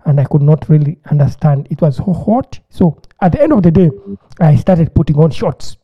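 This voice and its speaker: A man tells stories with lively energy, stumbling over repeated words as he acts out his reactions. His voice sits a little high. The sound comes over a thin, phone-like connection. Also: moderate pace, slightly rough. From Uganda. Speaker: podcast co-host. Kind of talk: casual chat. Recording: tinny phone-like line.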